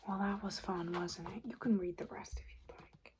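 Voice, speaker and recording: A woman speaking softly and breathily in an ASMR roleplay, often slipping into a whisper, chatty and a little hesitant. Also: mid-range voice, steady tone, normal speed. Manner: hesitant, chatty, murmured reading aloud. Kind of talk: soft-spoken ASMR roleplay. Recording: noticeable background noise. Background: paper and tapping sounds.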